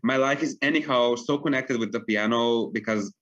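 Young male interview guest from Serbia, earnest, chatty, mid-pitched, slightly muffled recording. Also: normal speed, fairly bright tone. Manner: earnest, frequent fillers like "like". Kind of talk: casual interview talk. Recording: dull lo-fi recording.

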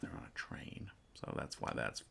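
A man's voice: normal speed, a bit bright, whispered. A man hosting a comedy podcast, casually reading out and explaining comics in a rambling, mock-earnest way. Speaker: podcast host.

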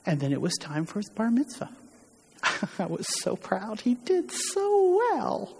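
A woman playing a grieving, ashamed widowed mother, speaking solemnly and haltingly with heavy pauses in a dramatic church monologue. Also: lowish voice, animated, gravelly, breathy edge, heavily acted. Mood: wistful, pained. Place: large reverberant church during a worship service. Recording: echoey hall with long reverb, muddied.

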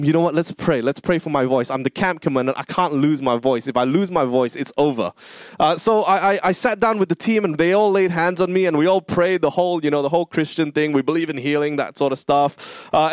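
A man in his thirties preaching a sermon, telling a personal story in an animated, earnest, conversational way. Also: fairly high pitch, dry recording, clean studio sound. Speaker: pastor.

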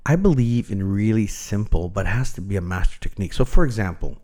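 Male, middle-aged. Lower register. Opinionated chef guest, candid, anecdotal, conversational. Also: lively intonation, quick pace, warm tone. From Canada. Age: middle-aged or older. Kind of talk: casual interview chat, anecdotal.

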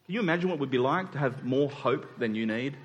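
A man preaching a sermon in an earnest, emphatic way, repeating phrases and pausing deliberately. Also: medium pitch, animated, muffled recording. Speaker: pastor preaching to his congregation. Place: church hall during a service. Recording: reverberant hall sound.

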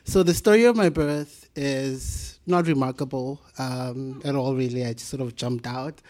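A South African man in his thirties, a plant biologist telling a playful, animated story in a talk. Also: medium pitch, moderate pace. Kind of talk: storytelling presentation.

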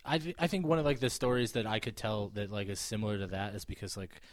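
A low-voiced man in his thirties, rambling casually. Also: steady tone, quick pace, no room sound, clean studio sound. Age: 30s. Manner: rambling, many restarts and fillers, swears casually. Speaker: podcast co-host. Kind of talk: casual chat.

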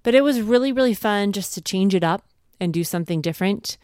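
A woman's voice: medium pitch, animated, fast, a little mellow, smooth, dry recording, clean studio sound. A woman, a podcast co-host, chatting casually and encouragingly as she shares advice and anecdotes from home life. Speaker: podcast co-host, homeschooling mother.

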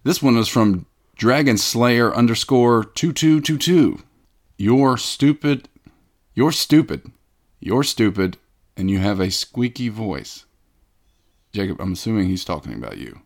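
A low, gravelly male voice fronts a comedy podcast, reading out listener mail. He sounds pompous and self-important, and he gets mock-indignant at insults. His voice has a slight rough fry to it. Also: lively intonation, measured pace. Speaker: podcast host. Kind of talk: comedic scripted podcast banter.

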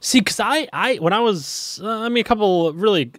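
Bright lively young man chatting. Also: high-pitched, moderate pace, a little hiss or hum. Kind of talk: casual chat.

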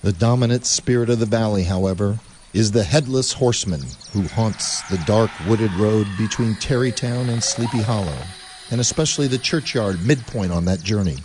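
Guy narrating an old spooky story for a radio play, lowish voice, lots of rise and fall. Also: husky. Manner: measured, expressive storytelling.

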